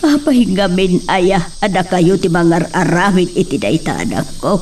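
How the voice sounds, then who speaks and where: A woman's voice: fairly low pitch, lively intonation, normal speed, darkish tone, distant mic, slight background noise, close to an everyday voice. A woman playing a fretful, scolding mother in an Ilocano drama, with a Filipino Ilocano accent. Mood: fretful, questioning. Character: fretful, scolding mother fearing for her children. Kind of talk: Ilocano radio-style drama acting.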